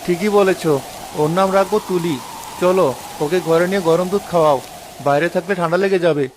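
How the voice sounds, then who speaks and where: High-voiced earnest village father, acted by a man. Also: fairly even intonation, fairly quick, background noise, natural voice. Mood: amazed, thankful. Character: kind-hearted village husband and doting father. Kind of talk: dramatic cartoon dialogue.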